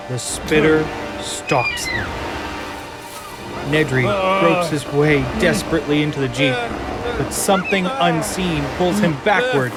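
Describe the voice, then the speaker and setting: A man reading dramatic scripted narration for an audio drama with suspenseful, deliberate phrasing over music. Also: on the high side. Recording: loud cinematic music bed.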